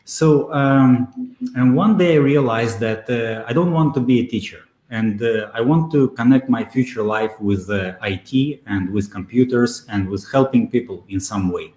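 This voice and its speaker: A man with a mid-range voice, answering interview questions over a video call. He talks in a steady, measured way and often pauses on "uh". The sound carries a clear roomy echo. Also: mid-range voice. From Belarus. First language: Russian. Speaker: guest, SEO consultant.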